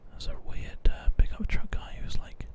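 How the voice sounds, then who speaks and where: Male ASMR gaming host, whispered delivery, gentle rambling explanations. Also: normal speed. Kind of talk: whispered ASMR game commentary.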